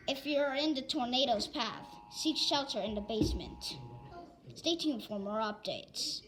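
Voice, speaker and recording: A woman with a high voice reads out a homemade weather alert at a slow pace. Her delivery is halting, and she stumbles and repeats phrases as she goes. There is some noise in the recording behind her. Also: a bit bright, rough recording. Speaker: announcer of mock emergency alerts. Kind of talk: amateur weather alert bulletin read aloud.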